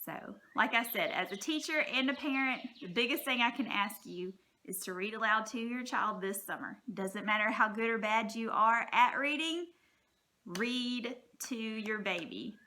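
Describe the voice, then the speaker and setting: Bright-voiced woman, encouraging teacher. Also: on the high side, a little slow. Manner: encouraging, reassuring. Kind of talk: informal spoken advice talk to parents.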